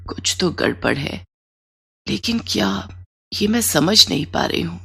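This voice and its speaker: A woman voicing a blind elderly mother-in-law in a story, anxious, suspicious and plaintive, muttering slowly. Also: low-pitched, big pitch swings, vocal fry, breathy edge, pitched below the performer's own voice, full character voice. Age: older.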